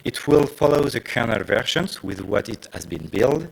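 A man from France with a French accent, giving a technical conference presentation in hesitant, non-native phrasing with frequent pauses. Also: medium pitch, expressive, vocal fry, breathy edge, poor audio. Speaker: conference speaker.

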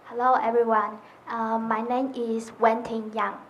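A woman's voice: on the high side, level tone, measured pace, background noise. A woman from Taiwan introducing herself at a workshop, hesitant and full of fillers. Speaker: participant. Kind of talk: self-introduction.

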